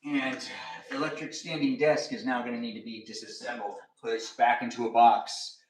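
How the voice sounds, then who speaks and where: A playful man in his thirties talks through a home livestream, rambling and breaking into sing-song asides as he works. He sits at a middle pitch and stands back from the camera mic. His voice bounces around a roomy kitchen. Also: little pitch movement, moderate pace. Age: 30s. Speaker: livestream host. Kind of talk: casual livestream chat. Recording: roomy, distant camera audio.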